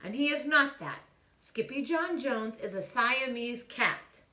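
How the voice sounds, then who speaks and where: A woman's voice: fairly high pitch, expressive, measured pace, bright, forceful tone, echoey room, recorded from a distance, pitched above the performer's own voice. A woman voicing a frazzled, scolding mother cat in a storybook, exasperated and dramatic.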